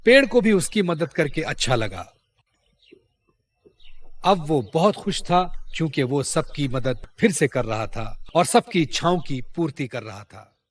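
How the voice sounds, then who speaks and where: Man, storyteller, scripted children's tale, fairly high pitch, very lively intonation. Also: measured pace.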